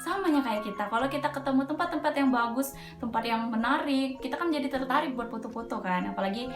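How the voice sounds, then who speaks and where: A woman's voice: high voice, lively intonation, fast. A young woman with a Medan accent, telling a mountain ghost story in a chatty, slangy way with lots of filler words. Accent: Medan Indonesian. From Medan, Indonesia. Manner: chatty, slangy, tosses in frequent filler interjections. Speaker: storyteller host. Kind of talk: casual horror storytelling. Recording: echoey room with background music.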